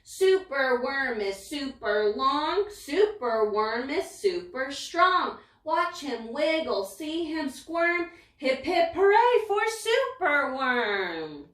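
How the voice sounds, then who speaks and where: A woman reads a children's rhyming story aloud with dramatic emphasis. Her voice is fairly high and takes its time, recorded in a roomy space a bit back from the mic. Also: big pitch swings, takes it slowly, hardly any pauses, fairly bright tone, far from the mic. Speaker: story reader. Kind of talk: expressive read-aloud of a rhyming picture book. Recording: echoey room, away from mic.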